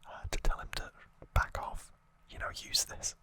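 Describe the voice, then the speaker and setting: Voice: normal speed, bright, forceful tone. An ASMR host whispering an unscripted story recap in a hesitant, slightly wry way.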